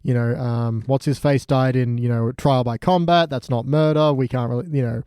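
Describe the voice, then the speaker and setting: A man's voice: mid-range voice, steady tone, moderate pace, dark tone, smooth, dry recording, studio quality. A man co-hosting a podcast in a casual, sweary chat, full of joking asides and mock quotes. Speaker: podcast co-host. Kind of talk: casual chat recapping a TV show.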